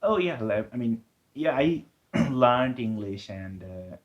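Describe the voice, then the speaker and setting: A young man from Bangladesh, a guest in a casual interview, speaking in a relaxed, hesitant way with many fillers. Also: medium pitch, takes it slowly, highs cut off.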